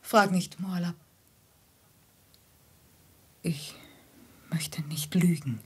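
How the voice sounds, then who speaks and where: A very breathy, mysterious veiled seductress. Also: female voice, on the low side, full character voice. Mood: fearful, evasive. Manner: slow, hushed, languid, intimate. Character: mysterious, seductive, secretive veiled woman of Arabian tale. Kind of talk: 1950s German radio drama acting.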